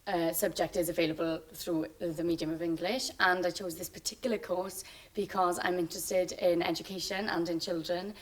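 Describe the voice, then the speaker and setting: Low, flat Welsh woman, chatty. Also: moderate pace, hardly any pauses, far from the mic, low-quality recording. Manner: chatty, rambling. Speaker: student guest. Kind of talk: casual interview answers.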